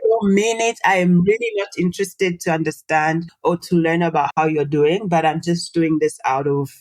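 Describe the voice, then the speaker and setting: Low-voiced woman, animated and explanatory. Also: moderate pace, no echo, poor audio. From Tanzania. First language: Swahili. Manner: animated, explains with examples and rhetorical questions. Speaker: guest, social entrepreneur. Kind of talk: casual interview conversation.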